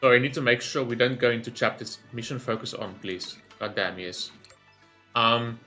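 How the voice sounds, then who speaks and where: Bright-voiced man rambling over a game. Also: medium pitch, flat delivery, normal speed, soft background music. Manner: rambling, thinking aloud, swearing freely. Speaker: gaming streamer recording a reaction video. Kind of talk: casual gameplay commentary.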